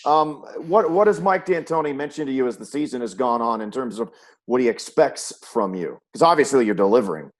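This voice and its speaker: A man's voice: medium pitch, expressive, brisk. A man who works as a sports broadcaster, hosting a conversational interview and posing questions.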